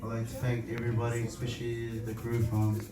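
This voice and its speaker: A man's voice: mid-range voice, brisk. Man with a sore throat, heard from afar. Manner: rambling and relaxed with many fillers. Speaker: team organiser thanking supporters. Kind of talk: casual thank-you speech. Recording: distant echoey noisy room recording.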